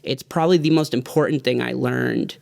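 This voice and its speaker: A man's voice: mid-range voice, fairly even intonation, a little fast, gravelly, a little mellow, dry recording, clean studio sound. A man in his thirties, a music producer, giving an earnest, heartfelt talk to his viewers in a loose, rambling way. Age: 30s. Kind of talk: reflective motivational vlog talk.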